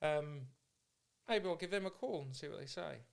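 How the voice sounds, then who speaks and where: A man's voice: a little high, animated, moderate pace, creaky. A man hosting a casual podcast, speaking in an informal, candid and agreeable way. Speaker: podcast host. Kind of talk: casual chat.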